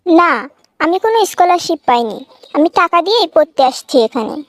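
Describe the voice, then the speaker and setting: A woman's voice: very high voice, sing-song, brisk, dry recording, studio quality. A woman playing a polite, curious young university student from the city in a cartoon comedy, with a standard Dhaka accent and a questioning manner. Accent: standard Dhaka Bangla.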